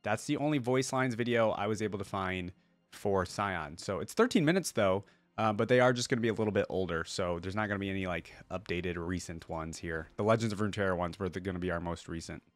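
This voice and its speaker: A man's voice: low-pitched, quick pace, dry recording. A man giving casual, informal reaction commentary as a video host, thinking aloud as he goes.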